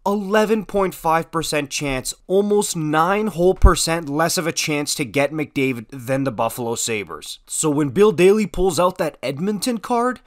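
A man's voice: on the high side, lively intonation, a little slow, few pauses. A man hosting an informal sports commentary video, talking in an opinionated, emphatic and slangy conversational way.

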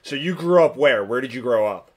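A man from New Jersey hosting a casual interview podcast, relaxed and full of fillers, talking over a remote call. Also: medium pitch, fairly quick. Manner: relaxed, filler-heavy talk. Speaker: podcast host.

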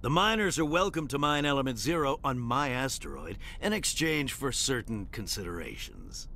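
An actor playing a pompous, hot-tempered crime warlord who styles himself a lord, with haughty, theatrical delivery in a video game. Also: male voice, mid-range voice, big pitch swings, normal speed, pushed and bright, slight background noise. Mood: haughty, smug. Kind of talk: dramatic video game voice acting.

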